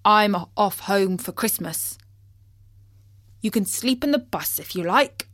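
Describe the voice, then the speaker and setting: A woman's voice: medium pitch, expressive, slow, fairly bright tone, no room sound, studio quality. Woman putting on a brusque, mocking bus driver. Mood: unbothered. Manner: brusque, jeering.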